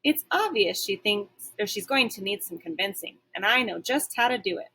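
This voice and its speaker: Woman, storybook read-aloud, teacherly, highly animated pitch, slightly husky tone, dramatic emphasis. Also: moderate pace. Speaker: teacher reading a book aloud. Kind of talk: expressive children's storybook narration.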